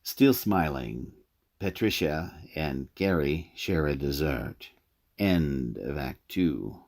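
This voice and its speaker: A man's voice: a bass voice, lively intonation, slow pace, a little mellow. A man hosting and narrating a radio drama as a dramatic storyteller. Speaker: host and narrator. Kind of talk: scripted narration.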